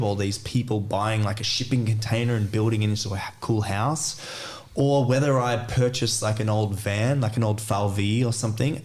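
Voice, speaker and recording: A man's voice: fairly low pitch, darkish tone. Easygoing Australian man chatting. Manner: easygoing, chatty, lots of "sort of" and "like". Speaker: podcast guest, DJ. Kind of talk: casual interview chat over beers.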